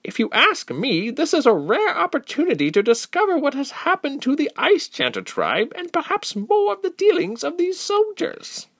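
A shrill, soaring council woman leaps to defend a young girl, indignant and pleading, as a male narrator puts her on. Her voice swings widely and sits very high, recorded dry and close. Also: wildly swooping pitch, normal speed, hardly any pauses, fairly bright tone, no echo, exaggerated voice. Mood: protective. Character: high-pitched concerned woman council member.

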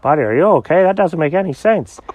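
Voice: medium pitch, lively intonation, fast talker, a little mellow, no echo, pitched above the performer's own voice, lightly acted. A storyteller voicing a patient, sensible male animal companion in a soothing but exasperated manner. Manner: calls the other buddy, soothing and exasperated.

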